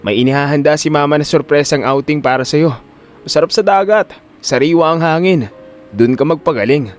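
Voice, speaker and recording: A man's voice: on the high side, fairly quick, soft background music, natural voice. Man acting a smirking, two-faced cousin. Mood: falsely friendly. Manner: taunting, falsely friendly. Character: smirking, two-faced male cousin, falsely friendly and menacing. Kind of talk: Filipino audio drama acting.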